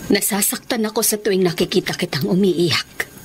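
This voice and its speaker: A woman's voice: mid-range voice, moderate pace, slight background noise, natural voice. An actress playing a caring, protective mother in a radio drama, speaking in an earnest, consoling way. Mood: concerned, consoling. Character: caring, protective mother advising her grieving daughter. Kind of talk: Tagalog radio drama acting.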